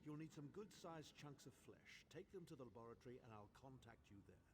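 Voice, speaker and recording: A man's voice: medium pitch, lively intonation, fast, noisy background, natural voice. A man playing a sardonic, condescending older mage in a video game, articulate and dry in delivery. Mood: dry, instructive. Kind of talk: video game character acting.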